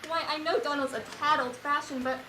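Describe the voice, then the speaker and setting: A young woman playing a dotty, doting mother in stage comedy, fussy and mock-genteel. The voice sits high and carries from a distance, with clear noise around it. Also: fairly even intonation, brisk, natural voice. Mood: feigned innocence. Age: teen. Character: dotty, doting wife and mother in stubborn denial. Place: school theatre stage. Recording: echoey noisy stage, distant mic.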